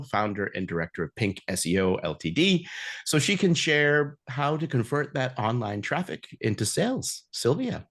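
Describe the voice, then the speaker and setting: A man's voice: lowish voice, vocal fry. A man introducing a marketing webinar over a video call, friendly and salesy, with frequent filler words. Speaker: company marketing director, podcast host.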